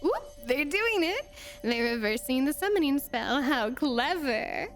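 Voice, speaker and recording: A woman's voice: high-pitched, very animated, measured pace, faint music behind, exaggerated voice. A woman voicing a playful, doting, mischievous demon who coos and teases in a flirty ASMR roleplay. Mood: playfully pouty. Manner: cooing, teasing, mock-sweet delivery.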